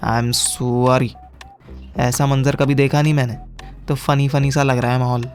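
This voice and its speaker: A man's voice: medium pitch, flat delivery, a little slow, darkish tone, clean tone, lightly acted. Man acting cheeky young sidekick, faint music behind. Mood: teasing. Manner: teasing, blurting, stammers when scared. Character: Chetan, cheeky foul-mouthed mocking young sidekick. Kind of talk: Hindi audio comedy drama.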